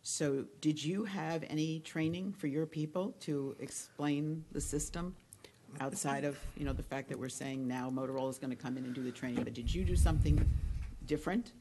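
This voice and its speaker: A woman commissioner questioning an official at a public meeting, feeling her way through halting, tentative phrases. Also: low voice, mellow, slight background noise. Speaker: county commissioner.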